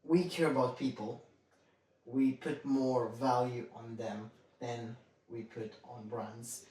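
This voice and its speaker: Man, podcast host, heavy room echo, slightly distant mic, deliberate conversational phrasing. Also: medium pitch, fairly even intonation, darkish tone. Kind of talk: conversational interviewing.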